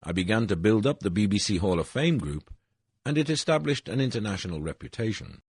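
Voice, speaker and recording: Older man, very low husky voice, lively rise and fall, dry close sound, formal measured reading. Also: no echo. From Wales. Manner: measured, formal reading with falling phrase ends. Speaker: essay narrator. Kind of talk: reading an autobiographical essay.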